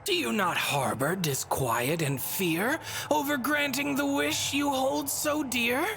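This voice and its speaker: A whimsical, cryptic goddess from a video game, talking slowly in theatrical rhyme. Her pitch swoops widely with a creaky edge, teasing and aloof, as music plays underneath. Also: expressive, a bit bright, heavily acted. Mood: teasing, grandiose. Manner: theatrical, teasing, rhyming riddles. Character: whimsical, bored, cryptic female god speaking in rhyme. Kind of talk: video game cutscene voice acting.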